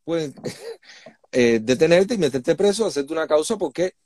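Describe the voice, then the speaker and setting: Man, Cuban accent, slightly high pitch, emphatic, indignant, impassioned interview talk, dry close sound. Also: lively intonation, unhurried, a little mellow, dry recording. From Cuba. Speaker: interview guest, human rights activist. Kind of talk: impassioned conversational explanation.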